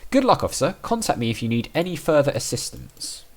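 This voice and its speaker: A man voicing a stern, formal female judge in a video game, with a croaky voice raised well above his own. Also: on the high side, sing-song, quick pace, pitched above the performer's own voice. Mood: satisfied.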